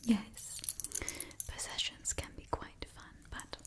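A woman in her twenties doing an ASMR roleplay in a soft, breathy whisper, close to the mic, soothingly repeating her phrases. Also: slow. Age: 20s. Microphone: close-up ASMR microphone.

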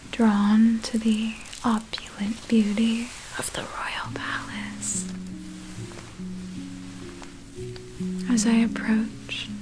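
Woman performing a seductive vampire thief, breathy whisper, extremely slow and lingering, predatory, ASMR roleplay storytelling. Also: mid-range voice, poor audio. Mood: wistful, savoring. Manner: slow, deliberate, lingering on phrases.

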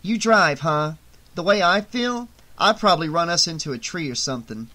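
A man's voice: a little high, lively intonation, normal speed, pushed and bright. Casting: man as tough, irritable woman, clipped and controlled delivery, audiobook heroine under strain. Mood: rattled. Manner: curt, controlled through clenched teeth. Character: tense, hard-edged, short-tempered woman.

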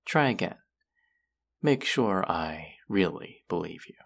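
A man's voice: sits low, lively intonation, slow, creaky, exaggerated voice. A man playing a condescending, teasing young twin brother in an audio roleplay, with a mocking, drawling delivery aimed at the listener. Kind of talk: scripted roleplay addressed to listener.

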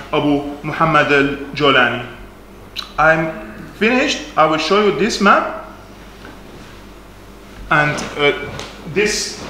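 A man's voice: a little high, pushed and bright, slight background noise. A man in his thirties giving a slide talk in a small attic lecture room, speaking with fillers and slightly non-native phrasing. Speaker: presenter. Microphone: far camera, no mic seen. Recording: echoey room from a distance.

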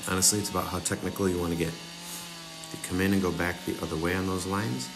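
A young man casually explaining chainsaw carving cuts step by step, as an instructor. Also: low-pitched. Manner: relaxed, talks viewers through each cut step by step. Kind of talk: casual tutorial voice-over.

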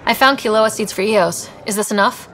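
A woman's voice: medium pitch, brisk, a crowd in the background, background noise, natural voice. A woman playing a capable, earnest young space explorer in a video game, speaking plainly and in a businesslike way. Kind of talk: video game dialogue acting.

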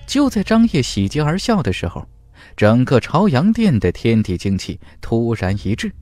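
Male narrator, fantasy audiobook, emphatic storytelling, animated pitch, dry close recording. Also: moderate pace, dry recording, faint music behind. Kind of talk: dramatic scripted narration of a fantasy novel.

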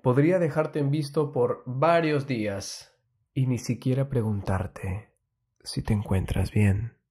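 A man giving a soft, intimate ASMR monologue in a breathy voice that often drops to a whisper, soothing and confiding, close to the mic. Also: medium pitch, slow. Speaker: ASMR host giving love advice. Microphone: very close ASMR microphone.

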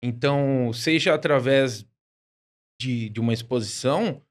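A man in his forties, a guest on a business podcast in a studio, speaking haltingly with lots of fillers. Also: mid-range voice. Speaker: guest, event founder. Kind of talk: casual interview chat. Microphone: studio mic on boom arm, headphones.

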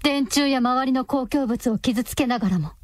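A woman's voice: high-pitched, normal speed, no echo. A woman narrator reading a radio drama in a scripted, dramatic storytelling style.

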